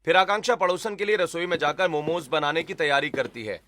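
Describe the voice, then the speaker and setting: Bright, forceful male story narrator. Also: a little high, fairly quick. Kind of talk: scripted story narration in Hindi.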